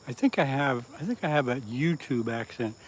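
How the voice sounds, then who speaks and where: A man's voice: medium pitch, animated, normal speed, slight background noise, poor audio. A man from southeast Pennsylvania chatting on a casual fishing livestream, reading viewer comments aloud and laughing at his own jokes. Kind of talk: casual live-stream chat with viewers. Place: outdoors by the water.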